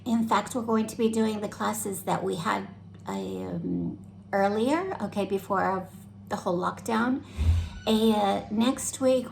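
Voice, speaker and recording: A young American woman walks viewers through a home recipe in her kitchen, chatty and easygoing, tagging her sentences with "okay?". Her voice carries a gravelly fry, and faint room noise sits behind it. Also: medium pitch, low-quality recording. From United States. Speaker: club activity host. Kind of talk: home cooking demonstration.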